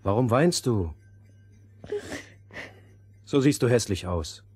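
An actor playing a headstrong young boy in a fairy-tale audio play, with an expressive, youthful manner. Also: mid-range voice, slow pace, breathy edge, no echo. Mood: scornful. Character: young boy, headstrong, scornful, easily enchanted.

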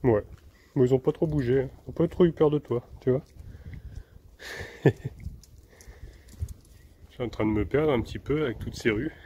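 A man in his thirties, filmed at arm's length on a phone, strolls outdoors and talks to his viewers in an easygoing, rambling way, often pausing to search for the next word. His voice sits at an ordinary middle pitch, and a faint wind noise runs behind him. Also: highs cut off. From France. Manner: relaxed, chatty, talks to his dog and viewers, frequent hesitations. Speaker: vlogger. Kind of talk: casual walking vlog. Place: outdoors in town streets and a field, then inside a camper van. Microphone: handheld phone camera at arm's length.

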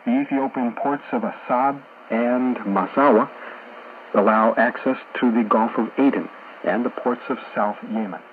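Man on muffled, noisy shortwave radio. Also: mid-range voice. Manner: measured, formal, informative. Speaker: radio host and journalist. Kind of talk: scripted radio discussion narration. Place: radio studio, heard over a shortwave receiver.

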